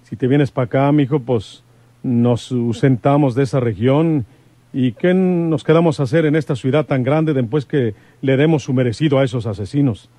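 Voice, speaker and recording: A man's voice: medium pitch, moderate pace, warm tone, no room sound, close to an everyday voice. An actor playing a folksy, brave rural lawman in a radio serial, with a Mexican accent and rustic colloquial speech. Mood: fatherly, confident. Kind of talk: old-time radio serial acting.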